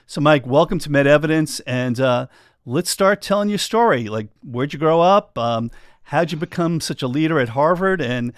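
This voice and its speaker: A man's voice: on the high side, expressive, normal speed, dry recording, studio quality. A man in his sixties hosting a medical interview, speaking with enthusiasm and small restarts. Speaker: physician interview host.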